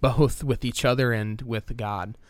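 A man's voice: mid-range voice, steady tone, unhurried, dry recording, clean studio sound. A man co-hosting a podcast in casual conversation, speaking hesitantly with frequent fillers. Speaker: podcast co-host. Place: small home studio.

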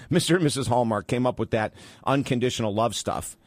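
An upbeat man hosting a live radio talk show, speaking into a studio mic. His voice is mid-pitched, and his delivery is enthusiastic and emphatic. The sound is close and dry. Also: moderate pace, dry recording. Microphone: studio microphone.

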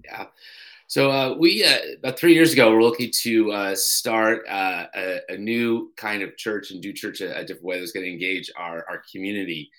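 A man's voice: lowish voice, a bit bright, rough recording. A middle-aged man from Colorado, a pastor and church co-founder, speaking as a radio guest with frequent fillers and restarts. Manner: halting, many "uh"s and restarts. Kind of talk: interview guest.

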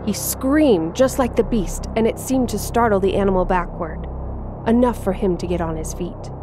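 A woman writer reading her own horror story aloud in a measured, suspenseful way. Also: medium pitch. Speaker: writer and narrator. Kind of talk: scripted horror story narration. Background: music.

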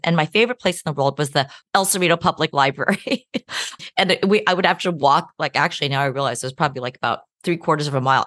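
A middle-aged woman speaks in a lowish voice that swings up and down freely as she answers an interviewer. She talks in an animated, chatty way and laughs often. The sound is dry and close. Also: dry recording. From United States. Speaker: guest, law professor and author.

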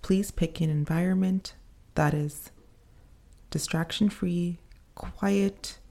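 A woman leading a guided meditation in a soothing, unhurried manner, pausing between short phrases. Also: on the low side, steady tone, dark tone.

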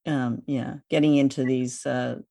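A woman's voice: a bass voice, fairly even intonation, a little slow, gravelly, mellow, no echo, studio quality. A woman interviewing a guest as podcast host, in a conversational style with hesitant phrasing.

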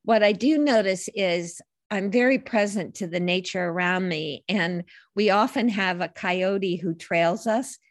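A woman's voice: mid-range voice, measured pace, hardly any pauses, no echo, clean studio sound. An older woman who is a life coach and podcast guest, telling stories in a reflective, meandering way and often correcting herself mid-sentence. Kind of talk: casual conversational storytelling.

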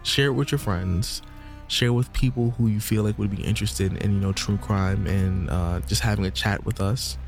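A man's voice: lowish voice, steady tone, moderate pace, faint music behind. A man co-hosting a podcast in casual, rambling chat, full of "you know" and "um," pushing upbeat calls to listeners. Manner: laid-back, rambling, lots of "you know" and "um"; upbeat promo sign-off push. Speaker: podcast co-host.

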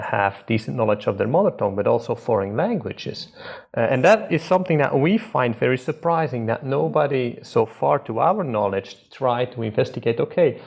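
A man's voice: mid-range voice, vocal fry, a little mellow. A man from Belgium with a Belgian Dutch accent, a researcher answering interview questions hesitantly with many filler sounds. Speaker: researcher guest. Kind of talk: academic interview.

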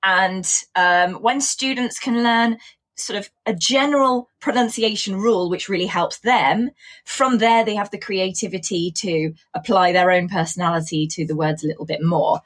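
An English woman with a hybrid accent and traces of Coventry in it, speaking as an English teacher in a relaxed interview. Her voice is a little husky and sits in a middle register. She explains her ideas step by step and scatters "you know" through her talk. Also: medium pitch, moderate pace. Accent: mixed English, with traces of Coventry. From England. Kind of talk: casual interview chat.

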